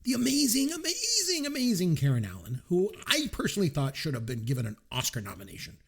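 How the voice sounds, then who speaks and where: A man's voice: sits high, wildly swooping pitch, fast talker, gravelly, fairly bright tone, husky. A man co-hosting a podcast in casual chat, giving enthusiastic, emphatic opinions. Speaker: podcast co-host.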